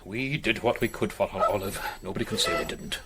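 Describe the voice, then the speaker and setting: A man's voice: fairly low pitch, wildly swooping pitch, brisk, roomy sound, heavy background noise. An actor playing a stiff, defensive middle-aged adoptive father in a radio drama, speaking in a prim, disapproving way.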